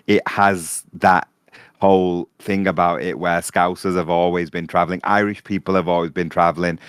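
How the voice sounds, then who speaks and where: Podcast guest, a man with a low, smooth voice, relaxed and chatty; dry sound. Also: no echo. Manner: relaxed, peppers speech with "like". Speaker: podcast guest, fan club member. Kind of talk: casual podcast discussion.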